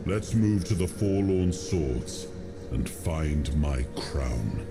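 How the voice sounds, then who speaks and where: A man voicing the spirit of a legendary king, speaking slowly and solemnly, with loud game music behind. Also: rumbling low, animated, gravelly, full character voice. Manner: slow, solemn, brooding. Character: ghostly legendary king, grave and resolute.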